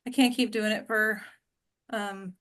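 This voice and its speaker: A woman's voice: mid-range voice, steady tone, a little slow, fairly bright tone. A woman hosting an acting class discussion in a casual, informal and encouraging way.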